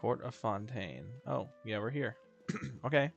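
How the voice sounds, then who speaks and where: A man's voice: medium pitch, lively intonation, a little slow, gravelly. A man streaming a video game, chatting casually and reading dialogue aloud with mumbly asides and stumbles. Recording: background game music.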